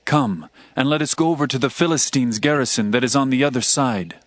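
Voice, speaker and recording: A man's voice: mid-range voice, fairly quick, no room sound, natural voice. Man playing a bold, declamatory young biblical prince. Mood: resolute. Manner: declamatory, archaic biblical phrasing. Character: bold young Israelite prince, full of faith. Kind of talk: dramatized scripture reading.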